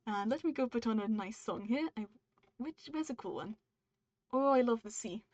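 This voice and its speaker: Uk woman reading her own draft on stream, keeps stopping to fix lines, kinda high voice, dry muffled mic. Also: animated, measured pace, darkish tone, no echo. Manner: breaks off mid-sentence to make edits and talk to chat; upbeat and friendly with viewers. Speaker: writer streaming her editing session. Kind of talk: livestream, reading her draft aloud and chatting with viewers.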